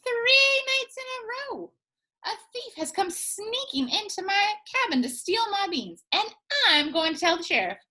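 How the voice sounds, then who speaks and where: A woman voicing a feisty, stubborn old granny from a children's storybook, with indignant, drawn-out exclamations. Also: very high-pitched, big pitch swings, pushed and bright, voice pushed up, exaggerated voice. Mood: aggrieved, determined.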